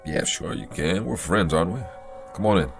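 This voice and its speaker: A weary, aging prizefighter speaks in a deep voice with plenty of rise and fall. He is battered and gentle, plain-spoken and full of self-doubt, in an old television drama with music behind him. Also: expressive, moderate pace, gravelly. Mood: playful. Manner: folksy, plain-spoken, reflective. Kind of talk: vintage television drama acting. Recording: archival TV soundtrack with music.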